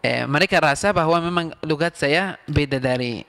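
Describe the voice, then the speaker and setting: A young man from Palestine with a Palestinian Arabic accent, answering interview questions in careful, halting second-language phrasing. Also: on the high side, creaky, fairly bright tone. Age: young adult. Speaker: interview guest, medical student.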